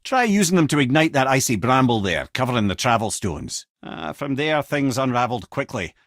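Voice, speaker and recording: An actor with a Scottish accent playing a clever, talkative older sage in a video game, a wry and eloquent storyteller and guide. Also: male voice, mid-range voice, big pitch swings, brisk, no echo, clean studio sound, natural voice. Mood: storytelling. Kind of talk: in-game character dialogue and storytelling.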